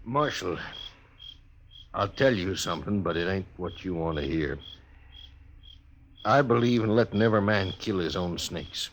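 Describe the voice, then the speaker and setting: A very low, laconic frontier station keeper with a rural Western American drawl. He is stubborn and fatalistic, blunt and folksy, on a vintage radio western with faint noise. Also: lively intonation, moderate pace, pauses often. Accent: rural American West. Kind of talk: 1950s western radio drama acting.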